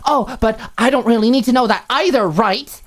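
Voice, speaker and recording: A woman's voice: on the high side, normal speed, pitched above the performer's own voice, natural voice. A woman voicing a furious, hurt young roommate in an audiobook, firing off sarcastic, emphatic rhetorical questions. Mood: exasperated rant. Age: young adult. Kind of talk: audiobook character acting.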